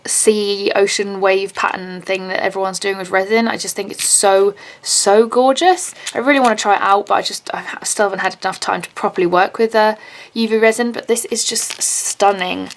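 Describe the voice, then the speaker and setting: A woman unboxing handmade charms in a casual vlog, gushing and excitable, with a slightly raspy voice. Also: medium pitch, normal speed. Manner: gushing, excitable, many "oh my God" and gasps.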